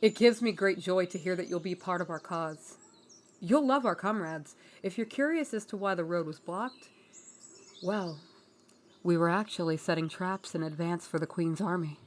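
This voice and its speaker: An earnest young rebel princess from a fantasy audio drama, acted out by a woman. She speaks in a measured, polite way, diplomatic and reassuring, with a streak of gentle exasperation. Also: on the low side, pitched below the performer's own voice, close to an everyday voice. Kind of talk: fantasy audio roleplay acting.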